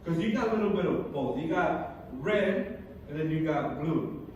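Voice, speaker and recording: Brief: middle-aged male comic, chatty and rambling, slightly high voice, recorded from far across an echoey club. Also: animated, moderate pace, darkish tone, highs cut off. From born in Massachusetts, raised in California. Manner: chatty, rambling delivery with many fillers and restarts. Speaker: stand-up comedian. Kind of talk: stand-up comedy set. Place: comedy club stage. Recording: echoey club room, distant mic, background noise.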